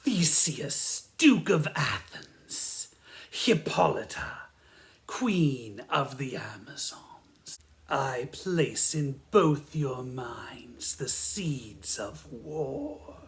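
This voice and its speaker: A woman's voice: big pitch swings, full character voice. A woman playing an imperious, menacing fairy king in a comic audio drama, grandiose and commanding. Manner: slow, grandiose, booming then whispering. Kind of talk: theatrical comedy audio drama.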